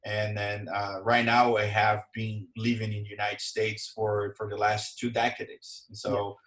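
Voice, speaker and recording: A man with a Brazilian accent and Portuguese as his first language, talking haltingly about his career as an interview guest. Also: fairly low pitch, little pitch movement, fairly bright tone. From southern Brazil. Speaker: podcast guest, sports executive. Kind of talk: conversational interview, telling own career story. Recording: roomy, echoey sound.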